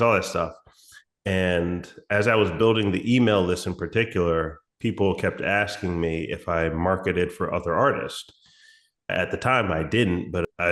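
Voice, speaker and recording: Deep-voiced man, raspy from a cold. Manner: relaxed, explanatory, frequent restarts. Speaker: music promotion agency founder. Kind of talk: casual interview chat.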